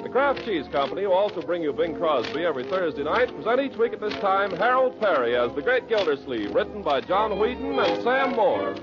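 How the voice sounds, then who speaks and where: Animated 1940s male radio announcer. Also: sits high, brisk, hardly any pauses, loud music behind the voice. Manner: polished, emphatic sales delivery. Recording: muffled old radio recording, faint noise.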